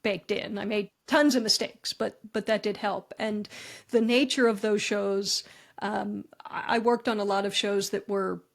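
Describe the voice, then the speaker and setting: A woman's voice: medium pitch, fairly even intonation, gravelly. Chatty woman comedy writer. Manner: chatty, self-deprecating, quick asides. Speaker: guest, comedy writer and speaker. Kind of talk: conversational interview answers.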